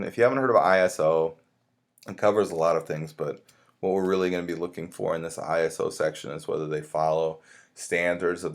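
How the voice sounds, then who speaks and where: A man with a low-pitched voice speaks as a college instructor. He lectures informally, explaining material step by step in a conversational way, as if walking students through their work. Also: gravelly.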